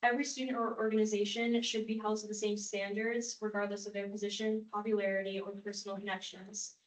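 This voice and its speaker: A young woman giving a measured, well-rehearsed statement as a student candidate. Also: medium pitch, little pitch movement, echoey room, poor audio. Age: young adult.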